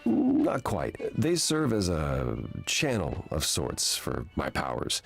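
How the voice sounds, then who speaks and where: A brooding god of prophecy: very low, creaky, formal and solemn, game drama. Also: male voice, huge pitch swings, takes it slowly, faint music behind, heavily acted. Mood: formal, weary. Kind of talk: video game voice acting.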